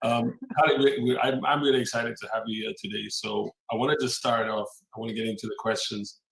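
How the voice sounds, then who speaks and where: A male podcast host introducing a film and then questioning his guest, with a halting delivery full of fillers. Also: fairly low pitch, steady tone, gravelly, a bit bright, reverberant, poor audio.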